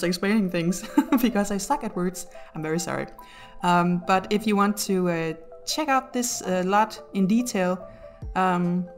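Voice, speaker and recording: A Danish woman with a Danish accent, talking through her build in a casual voice-over at home, halting with many ums and self-deprecating laughs. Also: mid-range voice, darkish tone. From Denmark. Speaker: YouTuber narrating a build video.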